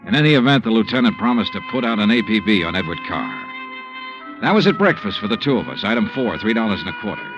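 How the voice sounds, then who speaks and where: An actor playing a hard-boiled insurance investigator who narrates and questions people in a dry, clipped, matter-of-fact way, in 1950s radio drama style. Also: male voice, on the low side, very animated, quick pace. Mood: narrating. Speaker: narrator and lead detective. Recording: muffled old radio broadcast over music.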